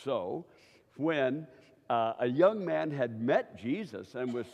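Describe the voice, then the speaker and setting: A man's voice: mid-range voice, animated, slow, gravelly, darkish tone, highs cut off. Chatty older man preaching in a hall. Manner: chatty, keeps checking in with listeners. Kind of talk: conversational church teaching. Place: church hall. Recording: long reverb of a church hall.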